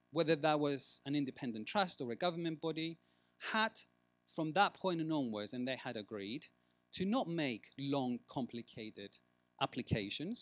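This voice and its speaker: A man presenting at a conference, stressing key words deliberately and posing rhetorical questions. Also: on the high side, dry recording. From Greece. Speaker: researcher giving a conference talk. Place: large conference room, at a lectern.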